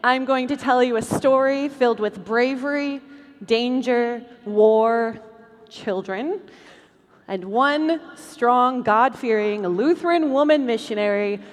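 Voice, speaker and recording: A woman's voice: a little high, slow. A woman co-hosting a live stage show, presenting trivia and stories to an audience. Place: large convention hall stage, over PA. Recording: hall reverb, faint music, live stage.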